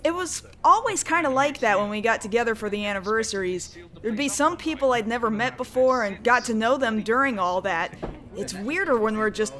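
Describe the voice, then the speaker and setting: A woman's voice: on the high side, animated, a little fast, fairly bright tone. A woman playing a sensible, wry veteran of a group of friends in a comedy web drama. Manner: casual, wry.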